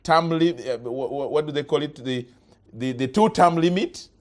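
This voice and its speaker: A man's voice: fairly high pitch, normal speed. A man hosting a political talk show, pressing a guest with repeated questions. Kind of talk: probing interview questions.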